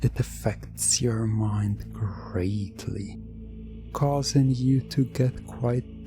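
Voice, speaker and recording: A narrator giving a hypnotic ASMR roleplay in a deliberate, repetitive way, sometimes slipping into a near-whisper. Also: medium pitch, vocal fry. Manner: deliberate, repetitive phrasing with lingering pauses.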